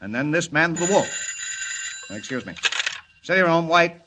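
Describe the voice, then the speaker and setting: An actor playing a gruff, impatient male newspaper editor with a blunt, brusque manner in a 1940s radio drama. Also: on the high side, lively intonation, normal speed, noisy background, natural voice. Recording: vintage 1940s radio recording with music.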